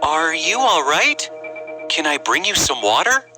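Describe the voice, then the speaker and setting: A man voicing a polite, gentle young prince in a storybook fairy tale, with music behind him. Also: fairly high pitch, big pitch swings, measured pace, exaggerated voice. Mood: gentle, concerned. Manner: gentle, courteous, well-mannered. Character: polite, courteous young prince seeking a bride.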